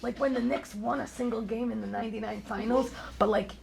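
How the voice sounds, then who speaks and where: A woman's voice: a little high, fairly even intonation, a little fast, vocal fry, a little mellow. A woman playing an awkward, kindhearted divorced bar owner in his fifties, hesitant and stammering, in a live comedy table read. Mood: earnest, gentle. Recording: live stage reading before an audience.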